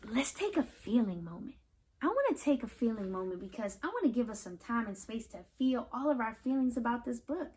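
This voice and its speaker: A high-voiced American woman hosts a picture book read-aloud for children. She talks straight to her young listeners in an affirming, reassuring way, with expressive repetition to drive points home. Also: fairly quick, a little hiss or hum. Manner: expressive, repeats phrases for emphasis.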